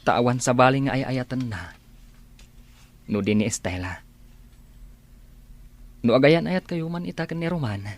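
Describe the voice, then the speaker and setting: A man's voice: mid-range voice, lively intonation, unhurried, long pauses. A man playing a reluctant, respectful employee in a radio drama, speaking with hesitant candour. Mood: grave, frank. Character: reluctant, deferential male employee revealing painful truth.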